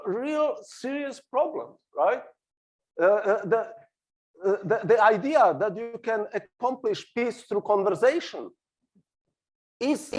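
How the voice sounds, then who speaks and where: A middle-aged man from Slovakia with a Slovak accent, arguing his points on a discussion panel and hesitating often. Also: sits high. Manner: argumentative, hesitant with many fillers. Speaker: panel speaker. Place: small hall on a stage.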